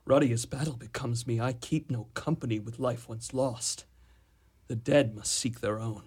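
An actor playing a reluctant, incredulous young prince in a Shakespearean radio drama, with wordy, theatrical delivery. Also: male voice, mid-range voice, steady tone, moderate pace, darkish tone, husky, natural voice. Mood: anxious, brooding.

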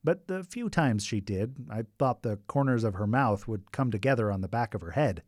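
A man's voice: lowish voice, lively intonation, normal speed, a little mellow, no room sound, studio quality. A man narrating a radio documentary in a scripted but conversational way, with wry asides.

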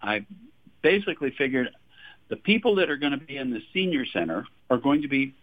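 A man's voice: medium pitch, big pitch swings, fairly quick. Man in his sixties from Texas, chatty guest, laughs often, restarts his sentences, tinny phone line. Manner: chatty, laughs often, repeats words when starting. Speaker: singer-songwriter guest. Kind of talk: radio interview, casual storytelling.

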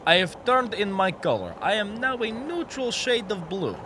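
Guy doing a wry runaway comrade, highish lively voice, theatrical radio comedy, noisy background. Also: moderate pace. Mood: wry, guarded. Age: young adult. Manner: theatrical, speaks of himself in third person. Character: wry runaway Soviet comrade Mushnik, dreaming of being American.

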